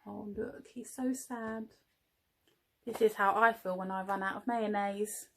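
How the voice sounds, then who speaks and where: A woman's voice: mid-range voice, steady tone, a little slow, a bit bright, slight background noise. A woman, an early years teaching assistant at home, encouraging young children and asking them questions as she reads a story.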